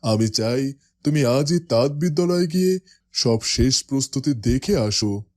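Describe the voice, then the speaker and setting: A man's voice: mid-range voice, expressive, a little slow, darkish tone, lightly acted. A man playing a dignified, commanding older king and father in a cartoon fairytale. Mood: stately, approving. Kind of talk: cartoon character acting.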